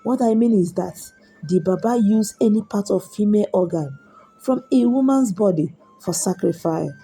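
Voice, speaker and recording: A coaxing young husband in a folktale, read by a woman storyteller. The voice is husky and dark, explaining slowly and persuasively, with music behind it. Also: medium pitch, low-quality recording. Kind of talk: storybook character dialogue.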